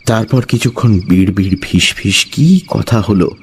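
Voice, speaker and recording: A man's voice: fairly low pitch, unhurried, a little hiss or hum. A man narrating a suspense horror story from a script in a dramatic storytelling style.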